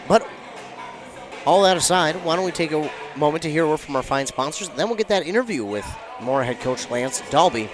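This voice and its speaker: A young man hosting a radio sports pregame show from a school gymnasium, his voice hoarse and a bit subdued. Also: on the high side, lively intonation, brisk, music in the background. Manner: conversational, somewhat subdued. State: hoarse, has lost his voice. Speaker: radio sports play-by-play host. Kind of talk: pregame broadcast talk.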